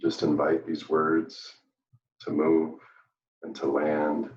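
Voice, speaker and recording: A man leading a live guided meditation, speaking gently and soothingly with long pauses, recorded at a distance in a room with a dull sound. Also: a bass voice, monotone. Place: indoor room, live virtual event. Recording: dull, roomy, distant microphone.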